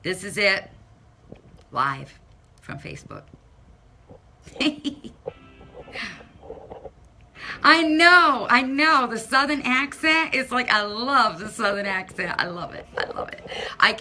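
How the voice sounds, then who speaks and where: Bright-voiced Massachusetts woman chatting loudly. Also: medium pitch, big pitch swings, unhurried, a little hiss or hum. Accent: Massachusetts, non-rhotic. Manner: chatty, loud, talks to commenters by name. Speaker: Facebook live streamer. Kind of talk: casual live-stream chat with viewers. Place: home, by a window. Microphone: phone held at arm's length.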